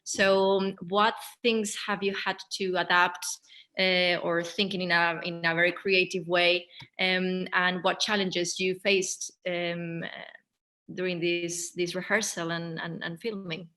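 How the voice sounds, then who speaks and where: A woman's voice: on the low side, little pitch movement, measured pace, sharp tone. A woman moderating a post-show conversation, working hesitantly toward her questions without a script. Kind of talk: unscripted interview questioning.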